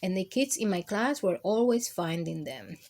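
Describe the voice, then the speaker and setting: A woman hosting storytime, reading a children's picture book aloud in an expressive, deliberate way. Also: medium pitch. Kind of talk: scripted storybook narration for children.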